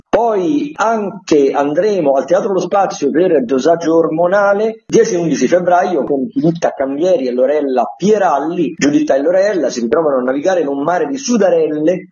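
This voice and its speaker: Dull lo-fi sound, theatre critic guy going through radio listings, mellow voice, chatty asides. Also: mid-range voice. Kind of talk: semi-scripted radio listings with informal commentary.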